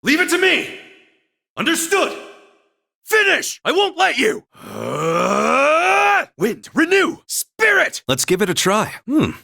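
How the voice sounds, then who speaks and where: A man voicing an earnest, calm young male warrior in a video game, speaking in a measured, sincere and formal way. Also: very high-pitched, creaky, heavily acted. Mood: rallying, fierce, then warm, encouraging. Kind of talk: video game voice acting, battle calls and dialogue.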